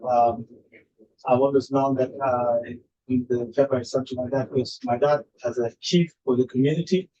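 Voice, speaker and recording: A man from South Sudan, testifying slowly to a legislative committee with many pauses and fillers. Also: medium pitch, mellow. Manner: slow, halting, many uh fillers. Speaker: adult education student testifying. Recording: dull lo-fi room audio with faint noise.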